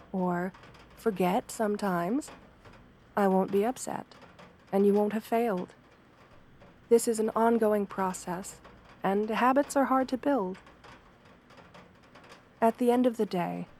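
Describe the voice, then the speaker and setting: A woman playing a kind, professional wolf girl therapist in an ASMR roleplay, soft-spoken, gentle and measured in her reassurance. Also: mid-range voice, slight background noise, close to an everyday voice.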